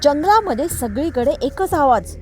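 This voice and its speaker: A woman's voice: high voice, animated, normal speed, a little mellow, soft background music. A woman narrating a children's moral story in a storytelling style.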